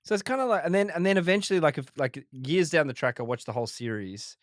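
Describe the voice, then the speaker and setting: A man's voice: a little high, expressive, fast talker, no echo. Man, faint south african twang, rambling, dry close sound. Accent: faint South African, mostly Australian. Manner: rambling, many restarts and fillers. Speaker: guest comedian. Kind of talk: casual interview chat.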